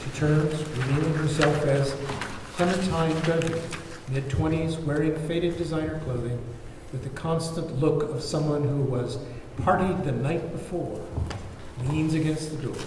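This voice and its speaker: Male narrator, slightly high mellow voice, script stage directions, table read, long reverb. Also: unhurried. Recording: very echoey room, faint background noise.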